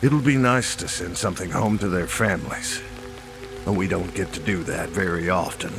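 An actor playing a grim, weary rural sheriff in a horror audio drama, laconic and deadpan. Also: male voice, low voice, normal speed, creaky. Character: grim, weary rural sheriff, matter-of-fact about monsters. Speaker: supporting character, sheriff. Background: rain and music.

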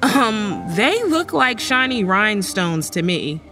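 A woman's voice: mid-range voice, very animated, slow, sharp tone, faint music behind, exaggerated voice. A young woman playing a mild, hesitant college student with dry wit in an audio horror drama. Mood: dry teasing. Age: young adult. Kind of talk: radio horror drama acting.

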